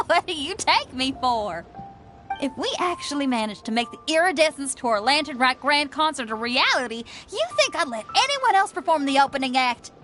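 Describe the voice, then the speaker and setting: A brash young rock musician in a game cutscene. Her voice is high and swings widely as she pushes her ideas with confidence. Game music is clearly heard behind her. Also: moderate pace. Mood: confident, eager. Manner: energetic, confident and punchy.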